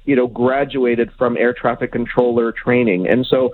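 A man's voice: medium pitch, steady tone, normal speed. Man on a phone-like line. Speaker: aviation consultant, interview guest. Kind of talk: radio interview commentary.

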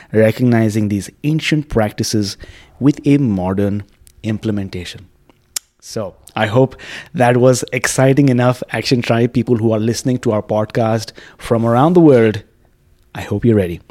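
A man with a relaxed, mellow voice at a middle pitch hosts a podcast interview. He speaks effusively and likes to check in with a "right?" as he goes. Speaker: podcast host. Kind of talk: podcast interview with scripted introduction and casual chat. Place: quiet indoor room at a wellness space.

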